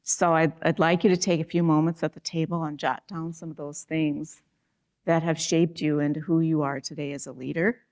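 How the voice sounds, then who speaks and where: A woman's voice: sits low, flat delivery, moderate pace, a little mellow. A woman moderating a panel, speaking earnestly with frequent restarts and fillers. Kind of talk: reflective panel talk.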